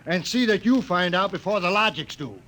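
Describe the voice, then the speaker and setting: An actor playing an excitable, dismissive company boss in an old radio drama, emphatic and quick to bluster. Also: male voice, high-pitched, soft background music. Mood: blustering, exasperated. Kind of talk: 1950s radio drama acting. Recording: noisy vintage 1950 radio broadcast.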